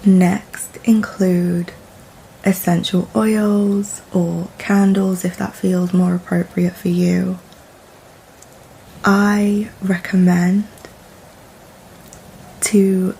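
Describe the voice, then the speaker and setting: A woman leading a guided meditation in a soft, soothing, deliberate manner with long pauses between phrases. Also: lowish voice, gravelly, slight background noise, rough recording.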